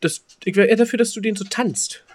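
A quick-talking man plays the teasing podcast co-host. His banter is casual, and he often restarts phrases by repeating their first words. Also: high voice.

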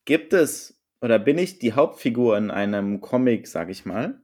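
A man co-hosting a podcast, playing a casual guessing game, unhurried and playful and laughing easily. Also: medium pitch, lively intonation, a little mellow. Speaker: podcast co-host.

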